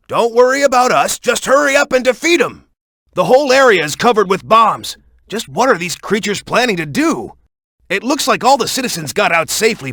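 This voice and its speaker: A hot-headed young male video game hero with a high, bright voice. He is brash and urgent, swinging widely in pitch as he punches out his lines. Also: no echo, clean studio sound, heavily acted. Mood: fierce, determined. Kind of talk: video game character voice clips.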